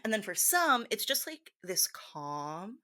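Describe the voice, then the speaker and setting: A woman playing a confused, ditzy, giggly hypnosis teacher in a soft roleplay, with scattered, hesitant, girlish talk full of fillers. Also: mid-range voice, very animated, slow, heavily acted. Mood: scattered, bubbly.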